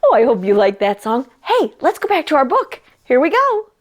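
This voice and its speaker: High-voiced woman hosting a children's storytime. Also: moderate pace. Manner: animated, addresses young children directly with questions. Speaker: children's librarian leading a storytime. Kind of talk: children's storytime read-aloud and chat.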